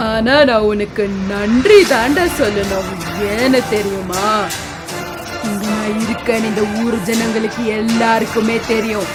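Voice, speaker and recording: A woman's voice: fairly high pitch, steady tone, measured pace, close to an everyday voice. A woman voicing a gloating hungry pond ghost. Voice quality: gloating, taunting. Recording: cartoon audio over loud music.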